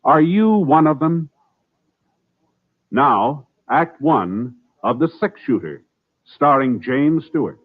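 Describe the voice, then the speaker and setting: An American man announcing on 1950s network radio, reading scripted narration in a formal, dramatic and deliberate style. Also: fairly high pitch, lively intonation. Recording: tinny old radio broadcast with music.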